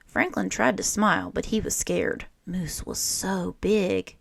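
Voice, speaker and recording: A woman reading a children's picture book aloud in an expressive, deliberate storytelling manner. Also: mid-range voice, gravelly, breathy edge, no echo. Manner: animated, deliberate storytelling with acted character lines.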